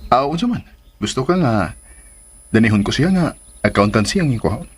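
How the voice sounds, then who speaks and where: A coaxing, lovestruck Cebuano suitor. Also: male voice, medium pitch, big pitch swings, brisk. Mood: hesitant, casual. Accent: Cebuano Filipino. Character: earnest, lovestruck male suitor, coaxing and teasing. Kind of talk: Philippine radio drama acting. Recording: radio drama with clear background noise.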